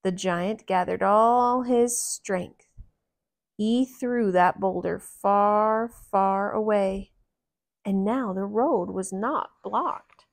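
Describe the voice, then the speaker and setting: A woman reading a children's picture book aloud in a deliberate, expressive storyteller's manner. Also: mid-range voice, dry recording, clean studio sound.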